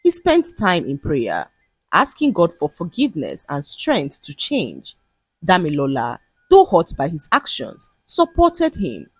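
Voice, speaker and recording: A woman narrating a scripted folktale in a dramatic, expressive way. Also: on the low side, unhurried, breathy edge, dry recording. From Nigeria. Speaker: storyteller. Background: music.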